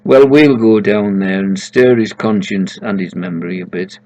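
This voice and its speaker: A man's voice: lowish voice, normal speed, mellow, highs cut off, natural voice. A man voicing a kindly, practical woman who escorts souls to heaven in a story. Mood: kind, brisk.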